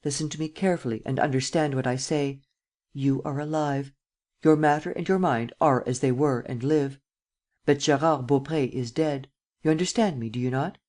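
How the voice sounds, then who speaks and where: A man voicing a coolly sardonic, persuasive prince in an audiobook, speaking with deliberate, imperious command. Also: mid-range voice, a little mellow, natural voice. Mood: firm, grave. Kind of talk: dramatic audiobook character acting.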